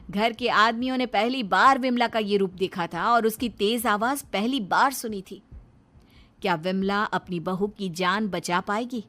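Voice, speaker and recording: A woman's voice: mid-range voice, a bit bright. A woman reading a scripted dramatic story narration for a cartoon.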